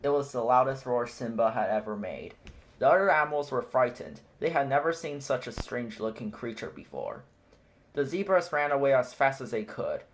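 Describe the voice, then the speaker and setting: A man narrating a children's picture book aloud in a steady, clear storytelling manner. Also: medium pitch, normal speed, slight background noise. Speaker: storybook reader.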